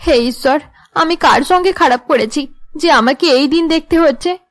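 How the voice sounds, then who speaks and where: Woman acting a high-voiced, sorrowful young mother. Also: normal speed, fairly bright tone. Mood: despairing. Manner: pleading, aggrieved. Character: put-upon, sorrowful young wife and mother. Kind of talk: cartoon drama acting.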